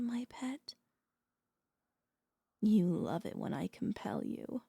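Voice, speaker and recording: Woman playing a slow, breathy, hypnotic vampire mistress. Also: medium pitch, mellow, dry recording, studio quality, heavily acted. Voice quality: breathy, often whispering. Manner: slow, coaxing, commanding hypnotic delivery. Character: possessive, soothing female vampire mistress hypnotizing her pet. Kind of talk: ASMR roleplay.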